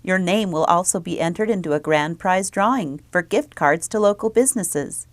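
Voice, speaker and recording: A woman library presenter reading scripted announcements and children's book reviews, clearly enunciated. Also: on the low side, lively intonation, normal speed, no room sound.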